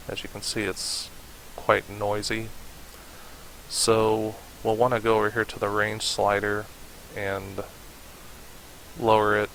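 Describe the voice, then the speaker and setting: A man narrating an unscripted software tutorial in an informal, hesitant way, as if thinking aloud. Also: on the low side, gravelly, rough recording. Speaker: tutorial presenter. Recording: hissy home voice-over recording.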